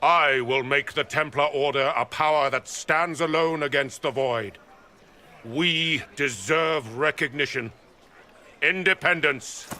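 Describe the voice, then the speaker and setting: Casting: a cold, ambitious knight commander, slow, measured, with a hard, bright tone. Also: male voice, fairly high pitch, slight background noise. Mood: cold, commanding. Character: stern zealous male knight commander.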